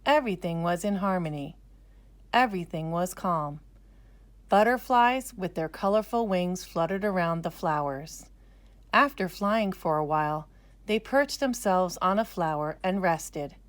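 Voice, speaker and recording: A woman storyteller narrating a scripted children's story in a careful, expressive way. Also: on the low side, measured pace.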